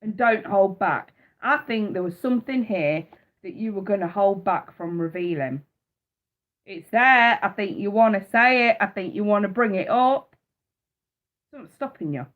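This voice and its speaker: A woman's voice: mid-range voice, expressive, moderate pace, long pauses, muffled recording. Blunt, cussing woman with a cold. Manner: blunt, swears freely, fired up. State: stuffy nose from a cold. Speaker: tarot reader. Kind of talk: live-stream tarot reading.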